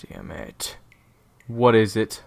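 A man acts out a short-tempered, weary male assassin leader in an audio drama. The voice is fairly low with a gravelly creak and lively swings of pitch. He is terse and guarded. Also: extremely slow, exaggerated voice. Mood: guarded, irritated.

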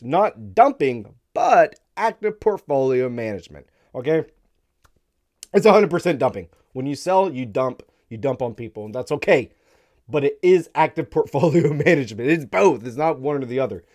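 A man in his thirties hosting a crypto live stream, hoarse and losing his voice, talking bluntly with wry laughs into a studio mic. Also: mid-range voice, animated, normal speed, a little mellow. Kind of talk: casual opinionated commentary. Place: dark studio room, indoors. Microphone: studio mic on stand in front.

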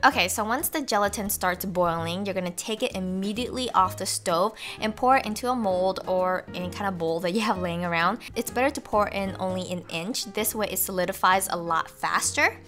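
A woman's voice: mid-range voice, a little fast, hardly any pauses, a bit bright, soft background music. A young woman narrating a home recipe video, walking through each step cheerfully as the channel's host. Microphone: voice-over.

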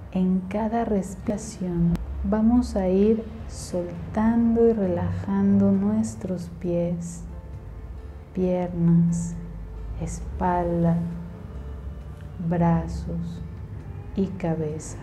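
A woman's voice: lowish voice, warm tone. A woman leading a guided meditation in a soothing, instructional way.

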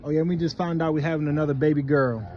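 A man talks casually to viewers and family as he films a home vlog on a handheld phone. His manner is playful and encouraging. The recording carries clear background noise. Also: fairly high pitch, fairly even intonation, fast talker, highs cut off. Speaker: vlog host. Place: at home, indoors and out in the yard.

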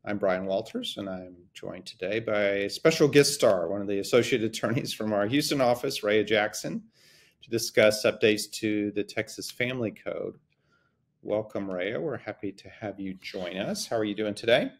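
Middle-aged male host, lowish voice, relaxed explainer on a webcam call from an office. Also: moderate pace. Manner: relaxed, explanatory, repeats words while thinking. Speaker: host, family law attorney. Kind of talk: casual explanatory podcast talk. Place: office with framed map, indoors. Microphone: video call webcam.

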